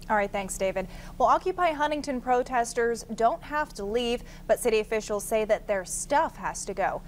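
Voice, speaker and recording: A woman's voice: a little high, animated, moderate pace, slight background noise. An American woman reading scripted news as a television anchor.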